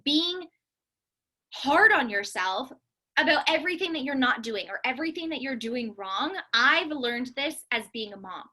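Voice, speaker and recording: A woman's voice: high-pitched, bright, forceful tone. A woman giving a motivational coaching talk to her team, speaking earnestly and emphatically and repeating phrases for stress. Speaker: business coach leading a team call.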